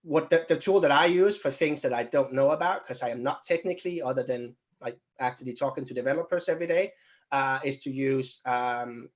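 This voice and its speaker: A man answering questions casually as a recruitment trainer, thinking aloud and rambling as he explains. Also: medium pitch.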